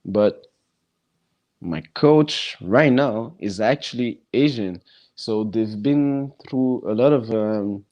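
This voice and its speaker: A man who speaks English as a non-native language, hosting a casual podcast chat and talking haltingly with many restarts. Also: fairly low pitch, darkish tone, highs cut off. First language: neither English nor French.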